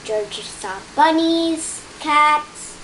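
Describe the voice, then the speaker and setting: High-pitched child reading a picture book. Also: animated, bright, forceful tone, slight background noise, poor audio. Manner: halting reading, stumbles and repeats words. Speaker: storytime reader. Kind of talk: read-aloud of a children's picture book with spoken asides.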